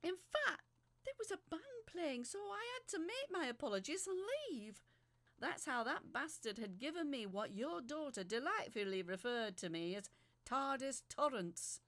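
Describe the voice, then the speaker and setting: A woman playing a feisty woman recounting a strange ordeal in expressive, sharp-tongued audio drama narration. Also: sits high, no room sound, exaggerated voice. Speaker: narrator reading a letter aloud. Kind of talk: dramatic first-person storytelling in audio fiction.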